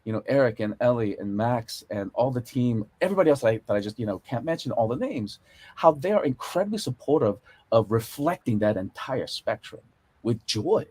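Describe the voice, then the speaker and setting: A man's voice: medium pitch, animated, fairly quick, a little mellow, dry recording. A middle-aged man with an American accent, speaking earnestly and emphatically in an unscripted panel discussion. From born in Korea, moved to America young. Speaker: panelist, TV series consulting producer.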